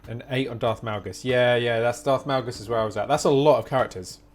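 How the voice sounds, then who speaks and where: A man's voice: mid-range voice, fairly even intonation, fast. A man chatting casually and replying to viewers as he live streams a game. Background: music.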